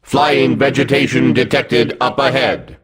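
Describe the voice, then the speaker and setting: A man voicing a hulking combat robot in a video game, gruff and mechanical, giving clipped, vengeful commands. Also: mid-range voice, steady tone, a little slow, a crowd in the background, natural voice. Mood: cold, commanding.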